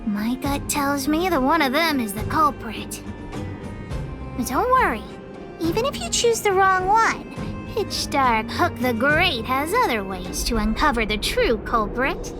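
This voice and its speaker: A tiny, self-important girl detective in a video game. Her voice is very high and childish, with slow, emphatic, lively delivery and grand boasts. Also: over music. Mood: confident, boastful. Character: bossy, boastful little girl playing detective leader.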